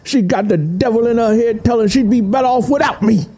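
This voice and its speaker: A man's voice: extremely high pitch, brisk, dark tone, slightly rough, pitched above the performer's own voice, heavily acted. A man voicing a proud, possessive rural bully who talks in insistent, folksy dialect.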